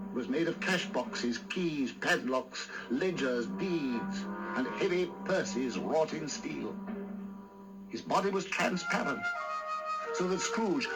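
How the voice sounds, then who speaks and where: A man narrates a radio drama in a fairly high voice. His delivery is slow, measured and theatrical, with deliberate literary phrasing, and music plays clearly behind him. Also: rough recording.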